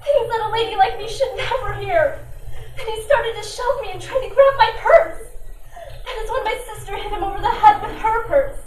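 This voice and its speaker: A woman's voice: extremely high pitch, moderate pace. A woman playing a scheming, bossy femme fatale who poses as a proper lady in a radio detective drama. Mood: feigned distress. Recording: roomy, distant mic, noisy amateur recording.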